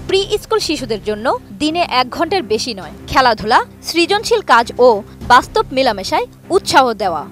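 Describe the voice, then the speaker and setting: A woman's voice: sits high, fairly bright tone. A woman with a Bangladeshi accent narrating a scripted news report as a reporter.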